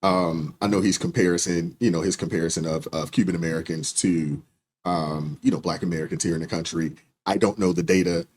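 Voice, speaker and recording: Deep husky man reacting. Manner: relaxed, hedging with "you know" and "um". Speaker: channel host reacting to clips. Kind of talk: reaction commentary.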